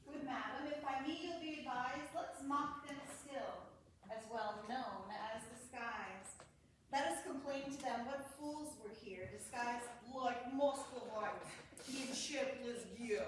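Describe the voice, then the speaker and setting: A woman's voice: on the high side, a little slow, close to an everyday voice. A woman performing witty, mocking courtiers in a Shakespearean staged reading, speaking verse dialogue. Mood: sly, scheming. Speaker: amateur stage actor. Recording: echoey hall, distant mic, faint noise.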